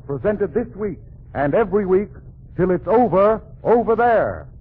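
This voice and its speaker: A man's voice: high voice, animated, moderate pace, noisy background. A man announcing a 1940s radio show from a script in an emphatic, declamatory way. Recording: muffled vintage 1940s radio broadcast, faint music.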